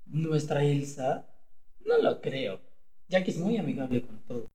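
Actor as cowardly, blustering husband, echoey room. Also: male voice, a little high, very animated, unhurried, warm tone. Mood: startled, timid. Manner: hesitant stammering, then blustering. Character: cowardly, boastful henpecked husband woken at night. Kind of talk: comedic radio play acting.

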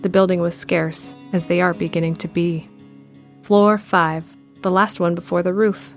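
A woman narrating an audio drama in a calm, steady read. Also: fairly low pitch, normal speed, faint music behind.

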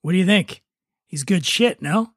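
A man's voice: high voice, animated, moderate pace, a bit bright, no room sound. A man reading a rueful, dry fellow haunted by his dead brother, in a script table read. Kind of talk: dramatic table read of TV pilot.

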